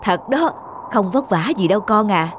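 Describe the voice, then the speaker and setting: A woman voicing a hardworking country mother who speaks softly and reassuringly, for an animated story. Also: medium pitch, moderate pace, slight background noise, close to an everyday voice. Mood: gentle, reassuring. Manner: soft, reassuring, hesitant. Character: hardworking rural mother, gentle and self-effacing. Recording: loud background music.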